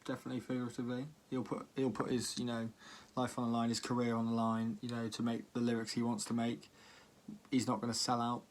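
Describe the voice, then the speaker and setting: A man's voice: mid-range voice, completely flat delivery, fast. A man giving casual spoken commentary as a music reaction vlogger, with a hesitant, rambling delivery.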